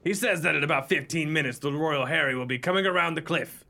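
An actor playing a brisk, dutiful pirate mate in a comic radio play. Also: male voice, on the high side, vocal fry, pushed and bright. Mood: brisk, cheerful.

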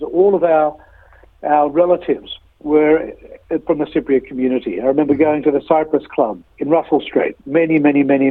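A man's voice: a little high, no room sound. Man in his sixties on a tinny phone line. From Australia. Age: 60s. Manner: measured, storytelling, frequent uh pauses. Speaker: interview guest. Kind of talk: reflective interview answers.

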